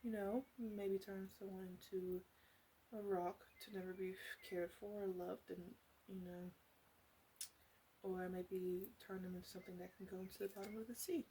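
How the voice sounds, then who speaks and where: A woman playing a sly, flirty witch who runs a potion shop, in a soft-spoken ASMR roleplay with whispers and halting, teasing asides. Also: mid-range voice, steady tone, slight background noise, natural voice, rough recording.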